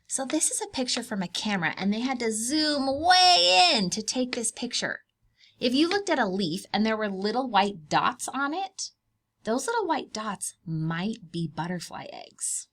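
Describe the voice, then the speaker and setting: A woman's voice: on the high side, big pitch swings, unhurried, fairly bright tone. A woman preschool teacher reading aloud to young children, explaining things simply, asking questions and drawing out key words.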